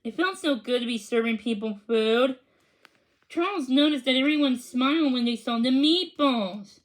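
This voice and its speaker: A woman reading a children's story aloud, a little haltingly, stumbling over and repeating words. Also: sits high, rough recording. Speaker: storybook reader. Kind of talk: children's read-aloud narration.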